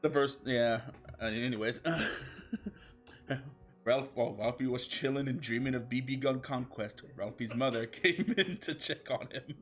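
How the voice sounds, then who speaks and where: A man's voice: medium pitch, normal speed, soft background music. A man livestreaming a comic story reading, chatting casually with his viewers in an irreverent, sweary manner. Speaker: streamer, fan fiction reader.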